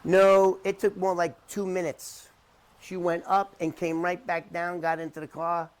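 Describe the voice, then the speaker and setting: A middle-aged man talking unscripted to the camera in an emphatic, repetitive, halting way as he rebuts claims. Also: high-pitched, little pitch movement. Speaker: interview commentator debunking someone's claims.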